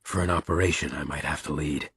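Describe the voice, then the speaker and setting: A man's voice: rumbling low, steady tone, fast, vocal fry, a bit bright, breathy edge, no room sound, studio quality, pitched below the performer's own voice, full character voice. A man voicing a weary, middle-aged military father in an audiobook, subdued, terse and matter-of-fact. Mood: grave, terse.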